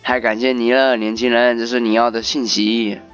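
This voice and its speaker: A man's voice: mid-range voice, level tone, moderate pace, a bit bright, clean tone, muffled recording. A man giving casual game commentary and reading story text aloud. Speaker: gaming video host. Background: game music.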